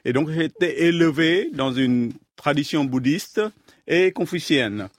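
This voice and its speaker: A man from Vietnam, a scientist guest in a radio interview, explaining his ideas in a measured way, pausing often to search for words. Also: a little high, dry recording, studio quality. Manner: measured, thoughtful, halting with many fillers. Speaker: guest, astrophysicist.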